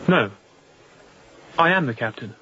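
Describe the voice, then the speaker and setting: An actor playing a young, introspective and kindly sea captain in a radio drama, speaking in measured, formal phrases. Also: male voice, medium pitch, animated, sharp tone, lo-fi sound. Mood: startled, wary. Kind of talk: radio drama acting with reflective monologue.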